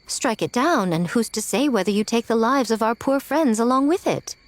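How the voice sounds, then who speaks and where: Game role: sly, high-voiced lady, arch and dramatic, very lively intonation performed by an actress. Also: normal speed, a little hiss or hum, close to an everyday voice. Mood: arch, teasing. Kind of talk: video game cutscene acting.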